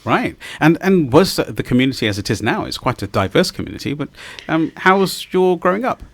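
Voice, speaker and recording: Effusive male radio host, lively rising-falling delivery, dry close studio mic. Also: a little high, brisk, dry recording. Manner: effusive, rambling, lively intonation. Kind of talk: live radio interview.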